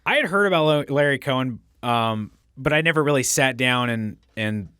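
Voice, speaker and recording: A man with a noticeably nasal voice, co-hosting a casual horror podcast. He rambles through his points with plenty of "uh" and "um", and his tone comes through smooth and clean. The recording is dry, with no room sound. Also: medium pitch, expressive, normal speed, bright, forceful tone, dry recording. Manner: rambling, chatty, many fillers. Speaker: podcast co-host. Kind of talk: casual chat.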